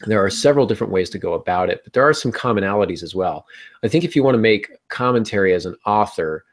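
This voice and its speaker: An American man in middle age with a fairly low voice talks as a podcast host. He explains things in a conversational way, as if on a live video call from a small room at home. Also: lively intonation, fast. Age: middle-aged.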